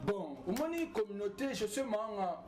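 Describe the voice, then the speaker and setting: High-voiced young man, halting speech. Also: sing-song, music in the background. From Congo. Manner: halting, repeats himself, long strings of formal greetings. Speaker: guest, community member. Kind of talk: casual interview answers mixing French and Lingala.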